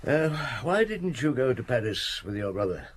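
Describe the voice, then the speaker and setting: An actor playing a courteous, gruffly patient middle-aged chief inspector in an audio drama, asking questions with polite hesitation. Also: male voice, mid-range voice, very animated, normal speed, slight background noise, close to an everyday voice. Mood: courteous, awkward.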